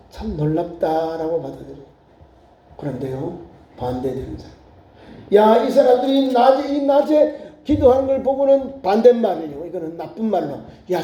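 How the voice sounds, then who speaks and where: A man preaching a sermon as pastor, acting out crowd voices in lively storytelling. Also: sits high. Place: church sanctuary. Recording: echoey church room.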